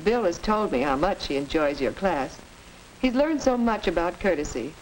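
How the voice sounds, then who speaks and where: A woman playing a courteous, encouraging American mother in a 1940s instructional film, speaking in a measured, formal way. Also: on the low side, animated, darkish tone, lightly acted. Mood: gracious, encouraging. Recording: noisy 1940s educational film soundtrack.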